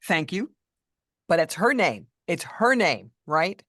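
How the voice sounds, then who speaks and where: A middle-aged woman voice coach with a deeper voice than many women, speaking in a measured, thoughtful way as a guest in a casual interview. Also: very animated, fairly bright tone, husky, dry recording, clean studio sound. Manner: measured, thoughtful, with restarts and fillers.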